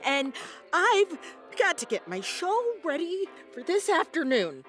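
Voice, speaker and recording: A woman playing a stressed, bossy radio station manager in an audio comedy, blunt and hurried. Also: very high-pitched, big pitch swings, a bit bright, soft background music, heavily acted. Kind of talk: audio drama comedy acting.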